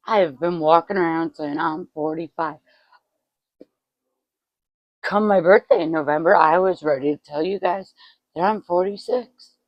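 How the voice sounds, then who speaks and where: A woman's voice: on the low side, a little slow, pauses often, dry recording, muffled recording. A woman in her forties chatting casually to her vlog audience at home, self-mocking and swearing freely. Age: 40s. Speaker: vlogger.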